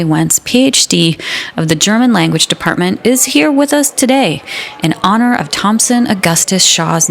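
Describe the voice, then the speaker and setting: An actress playing an earnest, erudite music radio host, speaking in a measured scripted style. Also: female voice, mid-range voice, close to an everyday voice. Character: music-loving woman hosting a minimalist music radio show. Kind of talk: scripted radio presenting, radio drama.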